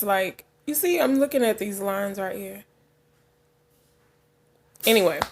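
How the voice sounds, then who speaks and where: A woman's voice: mid-range voice, a bit bright, a little hiss or hum. A woman in her forties talking casually to camera in a vlog, frank and chatty, laughing often and drifting into asides, just woken up. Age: 40s. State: just woke up. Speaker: vlogger.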